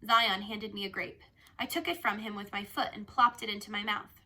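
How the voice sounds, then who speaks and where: A woman reading a children's novel aloud as a storyteller, with an expressive, animated delivery. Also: medium pitch, moderate pace. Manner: animated, acts out dialogue.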